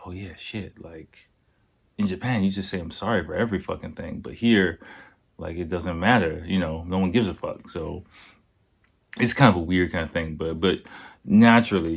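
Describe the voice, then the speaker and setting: A man with a very low voice and a slight hoarseness, easing through a solo podcast. He rambles in a relaxed, unhurried way and swears freely, as if chatting with a friend. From United States. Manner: laid-back, profane, rambling. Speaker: podcast host. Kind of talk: casual solo podcast talk. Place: Japan.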